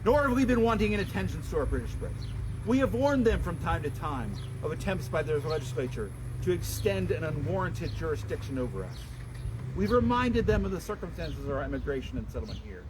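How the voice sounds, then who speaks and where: High-pitched man declaiming solemnly outdoors. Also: big pitch swings. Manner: solemn, declamatory, deliberate phrasing. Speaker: public reader and livestream host. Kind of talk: dramatic reading of a historical document. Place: outdoors at a town memorial. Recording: noisy outdoor livestream, traffic and street sounds.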